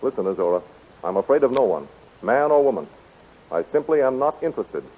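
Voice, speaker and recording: An unyielding palace guard from a 1930s radio serial. He is stern and curt, with a rough-edged, husky tone. The old recording is very thin and narrow, like a telephone. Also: medium pitch, very animated, brisk. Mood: firm, dismissive.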